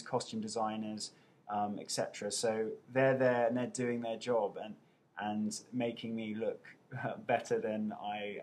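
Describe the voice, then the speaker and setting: A man's voice: lowish voice, a little mellow. A man in his thirties, an actor, chatting casually on a webcam as he reads out viewer questions and answers them with playful self-mockery. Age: 30s. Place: indoors at home. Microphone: webcam mic.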